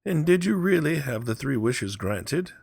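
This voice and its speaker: Elderly mother, played by a male narrator: soft-mannered, polite, soothing, raised pitch, slightly husky storybook voice. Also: big pitch swings, unhurried, dry recording, clean studio sound, full character voice. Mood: curious.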